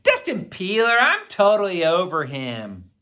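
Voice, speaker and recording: A reader voicing a sulky, dramatic teenage older sister in a children's audiobook, whiny and exasperated. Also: female voice, on the low side, huge pitch swings, unhurried, sharp tone, exaggerated voice. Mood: scornful. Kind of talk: acted children's audiobook dialogue.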